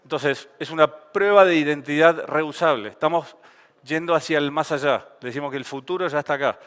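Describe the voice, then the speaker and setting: Argentine guy doing a relaxed joky talk on stage, clean voice, echoey hall. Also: a little high, a little fast, clear, resonant tone. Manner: casual, joking, conversational. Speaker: conference speaker. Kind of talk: conference talk. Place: conference stage. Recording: reverberant event hall.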